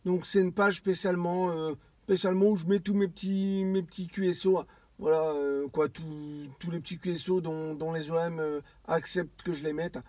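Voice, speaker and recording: A high-pitched man talks casually in a radio contact. He circles back over phrases and restarts often. The sound is dry and close, with no room around it. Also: a little mellow, dry recording. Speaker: amateur CB radio operator.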